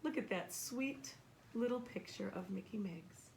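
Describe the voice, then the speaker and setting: A woman reading a children's story aloud expressively and emphatically, pausing to ask a child questions. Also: medium pitch, slight background noise. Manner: expressive, emphatic, with repeated words for effect.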